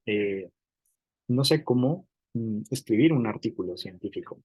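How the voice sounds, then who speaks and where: A middle-aged man giving an academic lecture, explaining through hypothetical examples and often pausing on "digamos". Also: lowish voice, a little mellow, breathy edge, no room sound, low-quality recording. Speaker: university lecturer.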